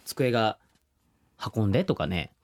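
A man's voice: lowish voice, big pitch swings, a little slow. A man hosting a radio show with casual, chatty and agreeable banter. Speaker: radio show host and voice actor.

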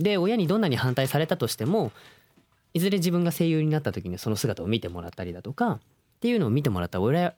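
Male radio co-host, mid pitch with lively swings, dry studio sound, gentle encouraging conversational delivery. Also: no echo. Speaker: radio show host, voice actor. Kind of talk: casual radio advice chat.

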